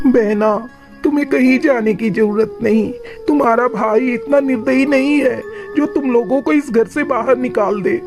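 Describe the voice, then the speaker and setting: A woman voicing a bossy but soft-hearted male crow in a children's moral-story cartoon. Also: on the high side, normal speed, close to an everyday voice. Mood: kindly. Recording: music clearly behind the voice.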